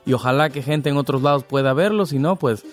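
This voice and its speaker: Relaxed man hosting a podcast. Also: mid-range voice, brisk, soft background music. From Veracruz, Mexico. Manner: friendly, congratulatory, relaxed. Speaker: podcast host. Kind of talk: podcast interview, casual.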